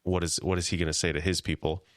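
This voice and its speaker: A man co-hosting a podcast, chatting casually and retelling scenes with quick paraphrased lines of dialogue. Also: deep voice, level tone, no room sound, studio quality. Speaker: podcast co-host. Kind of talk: casual chat.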